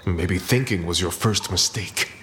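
A man's voice: low-pitched, unhurried, pushed and bright. A man acting a domineering, scheming crime boss, in a hard-boiled comic audio drama. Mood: interrogating, sly. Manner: theatrical, commanding. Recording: faint background noise.

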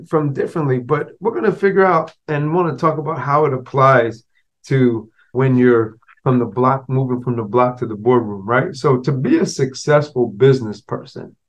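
A man hosting a podcast solo, talking casually with a slight stutter and restarts, mixing street slang with business talk. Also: medium pitch. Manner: conversational, street slang mixed with business terms. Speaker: podcast host.

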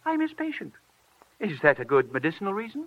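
An actor playing a whimsical, childish, pompous millionaire toy maker with precise diction, in 1940s radio style. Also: male voice, sits high, huge pitch swings, fairly quick. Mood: prim. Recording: dull vintage 1940s radio recording.

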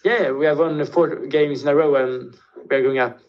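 Higher-voiced young man, native Swedish-Spanish speaker. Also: fairly even intonation, moderate pace. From Spain, with Swedish family. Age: young adult. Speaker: professional football goalkeeper, interview guest. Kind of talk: casual interview answers. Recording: slightly dull, lo-fi audio.

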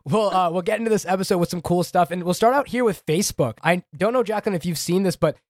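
A young man co-hosting a casual tech podcast, chatty and quick, a little under the weather. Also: high-pitched, dry recording, studio quality. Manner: quick, chatty, many restarts and "like"s. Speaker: podcast co-host.

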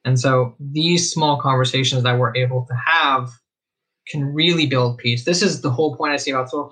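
A man's voice: mid-range voice, pushed and bright, rough recording. A young man from Israel hosting a livestream, talking in an earnest, reflective way with frequent fillers and restarts. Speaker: host of a live discussion stream.

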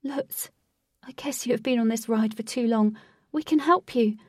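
A woman's voice: on the high side, moderate pace, a little mellow, husky, no room sound, voice pushed up. A woman narrator acts a young storybook heroine who is frightened but stands her ground. Her delivery is emotional and dramatic. The recording is dry, a little distant from the mic. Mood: bewildered. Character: frightened, defiant young woman trapped on a ride.

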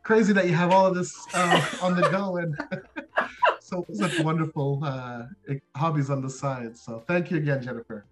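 Higher-voiced man co-hosting over music. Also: normal speed. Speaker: podcast co-host. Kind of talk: scripted guest introduction.

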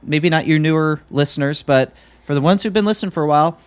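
A man's voice: fairly high pitch, quick pace, smooth. A man hosting a podcast in a casual, conversational chat style. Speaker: podcast host.

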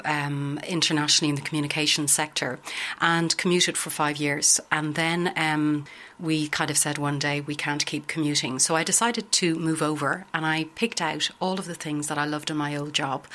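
A middle-aged Irish woman with an Irish accent, answering interview questions in a thoughtful, measured way with frequent pauses. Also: low-pitched, a bit bright. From Ireland. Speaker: guest, business consultant. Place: office building in a city.

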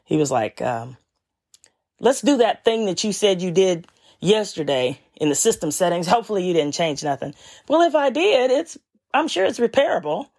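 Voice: mid-range voice, big pitch swings, normal speed, darkish tone. A podcast host telling a story in a casual monologue, laid-back and wry with frequent chuckles.

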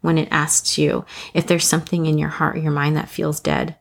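Podcast host, woman in her thirties, low gravelly voice, earnest devotional monologue with lots of ums. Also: level tone, moderate pace. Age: 30s. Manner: earnest, rambling, frequent ums and soft laughs. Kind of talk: reflective devotional talk.

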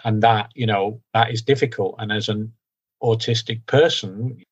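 A man from the UK talking candidly as a podcast guest, loud and direct, often mocking himself. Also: lowish voice, steady tone, normal speed, breathy edge, lo-fi sound. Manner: loud, direct, self-deprecating. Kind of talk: candid conversational interview.

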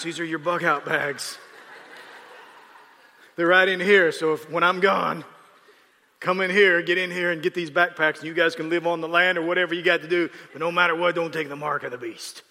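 A man's voice: on the high side, sharp tone. A man doing a blunt old Italian grandmother. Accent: Italian-American, put on. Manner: blunt, scolding. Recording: long hall reverb.

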